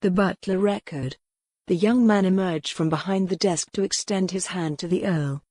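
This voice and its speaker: A woman narrating a novel in a measured, even storytelling manner on a close mic. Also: fairly low pitch, no echo. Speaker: audiobook narrator. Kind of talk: scripted narration.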